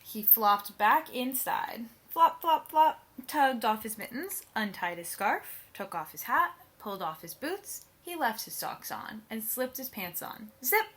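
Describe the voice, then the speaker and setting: A woman reading a children's picture book aloud as an expressive storyteller, with playful, emphatic sound words. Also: fairly high pitch, unhurried, hardly any pauses, breathy edge.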